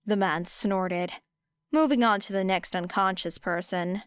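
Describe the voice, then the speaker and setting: Female narrator for fiction audiobook, mid-pitched, crisp and smooth, dry close sound. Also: unhurried, dry recording. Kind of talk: scripted story narration.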